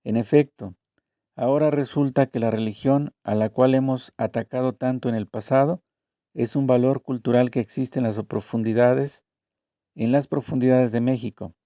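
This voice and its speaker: Deep, mellow man reading measuredly. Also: steady tone, moderate pace, no echo, clean studio sound. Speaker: reader voicing both interviewer and author. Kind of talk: narrated reading of a translated interview.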